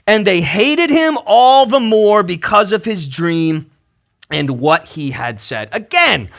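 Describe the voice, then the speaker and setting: A man's voice: sits high, lively intonation, takes it slowly, vocal fry, fairly bright tone. A man preaching a casual, conversational sermon, telling the story emphatically in colloquial language and often checking in with the listeners. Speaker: pastor preaching.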